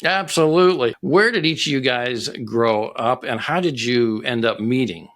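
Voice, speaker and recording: An upbeat man hosts a relaxed interview chat. He greets his guests eagerly and keeps the conversation friendly and casual. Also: medium pitch, normal speed. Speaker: podcast host.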